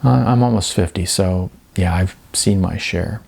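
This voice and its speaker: Man reading as resigned gravelly man near fifty. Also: deep voice, a little slow, a little mellow, pitched below the performer's own voice, full character voice. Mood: resigned, gentle. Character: calm, resigned man of almost fifty, consoling friend.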